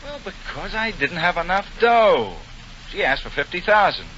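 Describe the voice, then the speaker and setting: An American man playing a glib, flustered racketeer gone soft in a 1940s radio comedy. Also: high-pitched, big pitch swings, moderate pace, full character voice. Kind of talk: 1940 radio comedy acting. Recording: muffled, noisy vintage radio broadcast.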